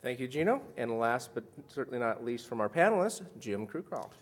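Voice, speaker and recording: A man's voice: mid-range voice, big pitch swings, brisk. A man moderating a conference panel, giving housekeeping notes and introducing speakers with some ums. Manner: orderly, housekeeping announcements with fillers. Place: conference session room.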